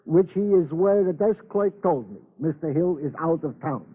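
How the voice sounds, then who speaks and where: An actor playing a chatty, deferential police sergeant in an old-time radio drama, with florid, roundabout phrasing. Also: male voice, a little high, animated, normal speed, breathy edge, phone-quality sound. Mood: earnest, eager. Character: chatty, deferential Italian-American police sergeant on night duty. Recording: thin, band-limited old radio recording.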